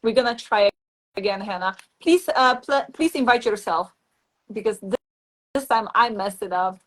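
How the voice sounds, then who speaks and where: A woman's voice: mid-range voice, normal speed. A woman from Poland with a Polish accent hosts a casual online interview, speaking hesitantly and repeating words as she searches for phrasing. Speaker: host.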